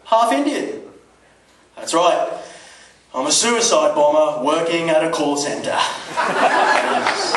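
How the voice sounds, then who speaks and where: A man from Dubai performing a conversational stand-up comedy routine, peppered with rhetorical questions and swearing. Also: fairly high pitch. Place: comedy club stage. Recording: roomy, distant mic, noisy club recording. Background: audience laughter, background noise.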